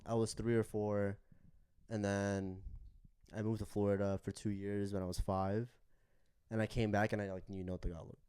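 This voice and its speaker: A young man co-hosting a podcast, talking in a relaxed, thoughtful way. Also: on the low side, moderate pace, clean tone. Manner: relaxed, thoughtful, many fillers. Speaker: podcast co-host. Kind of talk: casual chat.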